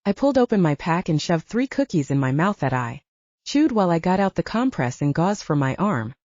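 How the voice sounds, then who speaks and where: Lively, low-pitched woman narrating fiction. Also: brisk, no echo, muffled recording. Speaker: audiobook narrator. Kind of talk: dramatic scripted fiction narration.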